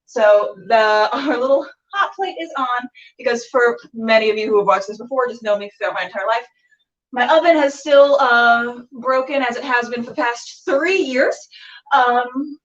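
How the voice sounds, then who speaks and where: A woman's voice: on the high side, fairly bright tone. A woman hosting a casual cooking show, chatting playfully and self-deprecatingly in a rambling way as she works. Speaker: host of a cooking and interview web show. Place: home kitchen, cooking at a hot plate. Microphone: phone or camera set back from speaker. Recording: roomy, distant, slightly dull home recording.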